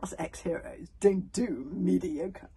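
A woman's voice: on the low side, takes it slowly, dark tone, slightly rough, full character voice. A woman voicing a boastful middle-aged male hero with a grand, flowery, self-satisfied manner in a storybook. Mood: smug boasting.

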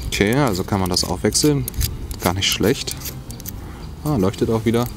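A man's voice: lowish voice, animated, normal speed, noisy background. A man casually reviewing a cheap survival kit outdoors, musing aloud as he tries each item. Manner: musing, talks while handling items. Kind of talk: casual hands-on product review. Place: outdoors on grass by a log. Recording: music playing underneath.

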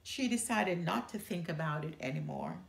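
Middle-aged lady reading a kids book aloud, very animated, bit of creak, roomy sound. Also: on the low side, moderate pace. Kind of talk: expressive read-aloud narration. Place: living room by a fireplace. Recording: roomy indoor echo.

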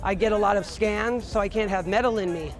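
A middle-aged man with a high voice, speaking matter-of-factly in an interview, with frequent fillers. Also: brisk, over music. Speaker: record holder. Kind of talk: interview monologue. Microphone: lapel.

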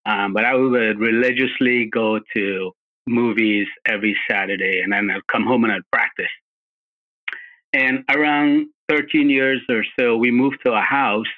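A man from Guyana, a martial arts instructor being interviewed, who answers politely and graciously with some pauses. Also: mid-range voice, fairly even intonation, phone-quality sound.

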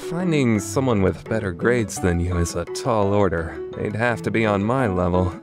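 Man acting an animated, easily flustered student council president. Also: fairly low pitch, normal speed, over music, natural voice. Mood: curious, unsure. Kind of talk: anime fandub radio play acting.

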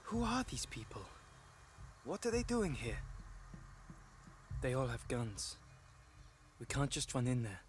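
A man playing a wary, lost young adventurer in a video game, speaking in halting short phrases. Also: mid-range voice, big pitch swings, a little hiss or hum. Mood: alarmed. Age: young adult. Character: young male adventurer, wary, lost, talking to his troll. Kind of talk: video game cutscene acting. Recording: loud music behind.

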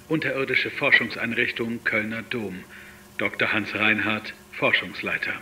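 A man's voice: on the low side, sharp tone, slight background noise. An actor playing a grave male virologist who dictates a formal, clinical audio log in a German audio drama. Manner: formal, clinical, measured delivery.

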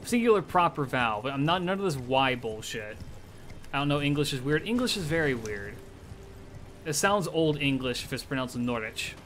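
A man's voice: medium pitch, expressive, normal speed, a bit bright. A man streaming a video game live, chatting loosely with his viewers, swearing and talking back at the game. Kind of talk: live gameplay commentary. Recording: clear game noise behind.

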